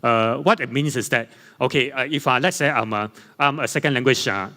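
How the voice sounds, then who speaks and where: A man whose first language is Chinese gives a conference panel talk from his slides. He speaks haltingly, often repeating himself and correcting his words. His voice has a mid pitch and carries the long reverb of a large hall. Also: bright, forceful tone. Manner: halting, many fillers and self-repairs. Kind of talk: academic presentation with slides.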